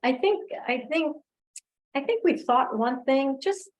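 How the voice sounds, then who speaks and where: A woman giving thoughtful, reflective answers as a guest speaker in an online discussion, pausing as she finds her words. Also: high voice, lively intonation. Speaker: guest speaker, filmmaker and oral history researcher. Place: remote online video call.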